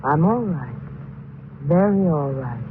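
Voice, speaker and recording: An actress playing an eerie, persistent young woman back from death in an old-time radio horror drama, speaking slowly and calmly. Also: low voice. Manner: slow, drawn-out, calm repetitions. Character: eerie, persistent thin young woman, murder victim returning. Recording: noisy, muffled old radio broadcast.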